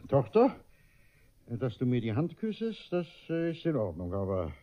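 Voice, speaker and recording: An actor playing a vain, gullible, domineering middle-aged father in a comic radio play, blustering and halting with hems and haws. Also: mid-range voice, wildly swooping pitch. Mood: domineering, impatient. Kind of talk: German radio play comedy acting. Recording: muffled radio drama recording.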